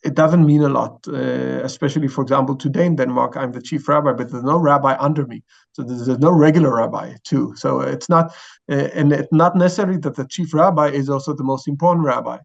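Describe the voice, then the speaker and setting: A man in his forties with a gravelly, creaky voice and an Israeli accent gives explanatory interview answers. He speaks haltingly, searching for words, and the audio is slightly dull. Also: mid-range voice. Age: 40s. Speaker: chief rabbi, interview guest.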